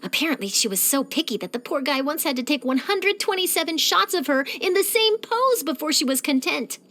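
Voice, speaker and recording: A woman voicing an eager, nosy young female journalist in a video game, chatty, theatrical and expressive. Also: sits high, fairly quick. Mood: gossipy. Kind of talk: animated game character voice lines.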